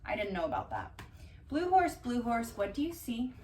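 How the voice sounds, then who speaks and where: A woman doing a casual ASMR-style storytime, reading aloud and drifting into dry, deadpan asides. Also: a little high, moderate pace. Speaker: cat vlogger reading bedtime picture books aloud. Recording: distant mic, roomy background noise.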